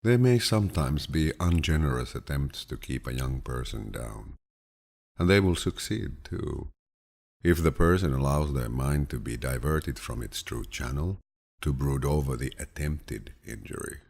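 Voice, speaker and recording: A man with a deep, low voice reads quotations as an audiobook in a calm, measured, grandfatherly way. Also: vocal fry. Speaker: audiobook narrator. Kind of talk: scripted audiobook reading of quotations.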